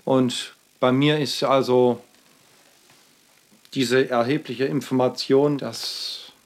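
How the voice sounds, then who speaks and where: Halting man recounting in a plain voice. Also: mid-range voice, fairly even intonation. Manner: halting, with frequent fillers and restarts. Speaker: artist. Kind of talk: rambling personal account in a radio feature.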